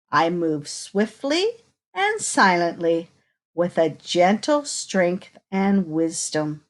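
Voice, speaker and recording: Slow, lilting woman reading to children. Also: mid-range voice. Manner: expressive, unhurried, asks inviting questions. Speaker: teacher reading a picture book aloud to children. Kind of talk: children's read-aloud with questions to listeners.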